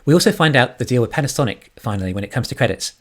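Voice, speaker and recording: A man's voice: mid-range voice, animated, rapid-fire. A man giving scripted financial commentary as an online analyst and narrator. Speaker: YouTube stock analyst.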